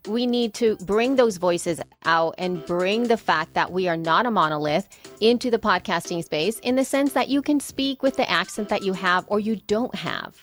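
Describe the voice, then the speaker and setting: Animated podcaster woman from El Salvador. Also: medium pitch, moderate pace, faint music behind. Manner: animated, talks in quoted asides and restarts. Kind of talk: casual conversation.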